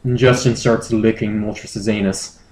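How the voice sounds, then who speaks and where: A man's voice: lowish voice, steady tone, a little slow, darkish tone. A man narrates a comic story on an amateur podcast. He reads it mock-seriously, with audible amusement. The recording is roomy and echoing. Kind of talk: comedic dramatic story reading.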